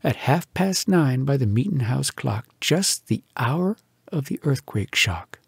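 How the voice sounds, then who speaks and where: Husky, animated man reciting humorous verse. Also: medium pitch, no room sound, clean studio sound. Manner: theatrical, animated delivery with dramatic pauses. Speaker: storyteller reading poems aloud. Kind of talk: expressive comic poetry recitation.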